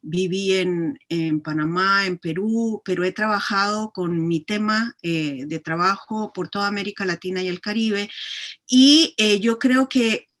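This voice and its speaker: A woman's voice: mid-range voice, measured pace. Hesitant middle-aged Chilean-born woman. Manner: thoughtful, many hesitations. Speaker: course participant. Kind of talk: online class introduction.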